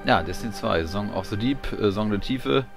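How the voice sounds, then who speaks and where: A man's voice: fairly low pitch, normal speed, vocal fry, over music. A man giving casual, offhand gameplay commentary, half muttering to himself. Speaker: gamer, let's player.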